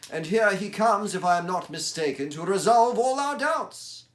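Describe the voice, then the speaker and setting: Man doing a crisp detective voice, commanding, dry, higher than the reader's own, echoey room. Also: animated, moderate pace, fairly bright tone. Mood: brisk, commanding. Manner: crisp, emphatic, dryly confident. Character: brisk, self-assured male detective, sharp and commanding.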